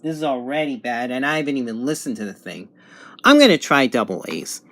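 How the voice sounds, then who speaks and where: A man giving a casual, unscripted product review at home, thinking aloud in halting phrases. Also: mid-range voice, very animated, fairly bright tone, no room sound. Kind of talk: casual unboxing and review narration. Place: home bedroom, indoors.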